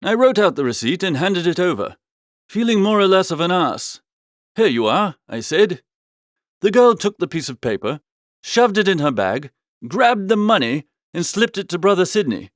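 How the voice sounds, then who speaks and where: A man voicing an amiable, flustered upper-class young gentleman, chattily narrating a comic audiobook in old-fashioned slang. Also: high-pitched, very animated, moderate pace, dry recording, studio quality.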